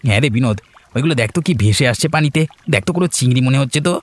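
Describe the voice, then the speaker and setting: A man's voice: mid-range voice, animated, very fast, lightly acted. A man voicing a friendly, eager village man in a cartoon, chatty and helpful. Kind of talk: cartoon voice acting.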